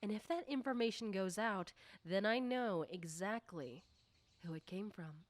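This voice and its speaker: Slow, coy popular schoolgirl, acted by a woman. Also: medium pitch, no echo, close to an everyday voice. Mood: secretive, assured. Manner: coy, probing, deliberate, often dropping into whispers. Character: nosy, teasing, self-assured popular high school girl. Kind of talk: ASMR roleplay, soft personal-attention talk.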